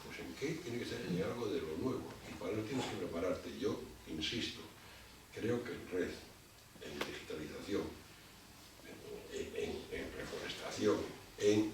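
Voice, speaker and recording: A man giving a public lecture. He talks in a halting way and often repeats words while he gathers his thoughts. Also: low-pitched, expressive. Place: lecture hall. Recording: distant mic in an echoey hall with background noise.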